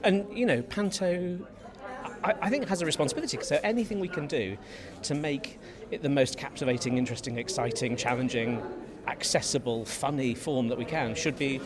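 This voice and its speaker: A man's voice: mid-range voice, fairly quick. A man giving a casual, reflective interview in stop-start phrases at a busy theatre. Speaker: pantomime writer, director and dame performer. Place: busy theatre at an awards event. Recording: lively crowd chatter behind.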